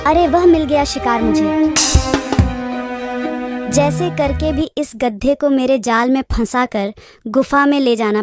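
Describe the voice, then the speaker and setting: A woman voicing a cunning male fox uncle who flatters and wheedles in a children's storybook tale. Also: on the high side, natural voice. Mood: scheming. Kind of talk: children's moral storybook character voice. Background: children's music.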